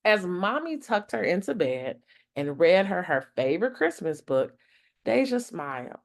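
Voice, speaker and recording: A woman reading a children's picture book aloud as a storytime host, expressive and deliberate in her phrasing. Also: on the low side, vocal fry.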